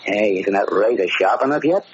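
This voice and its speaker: An actor playing a cocky, tough-talking gangster in a slangy 1940s radio drama style. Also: male voice, lowish voice, lively intonation, normal speed, close to an everyday voice. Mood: brash, impatient. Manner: slangy, brash. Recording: muffled, hissy 1940s radio recording.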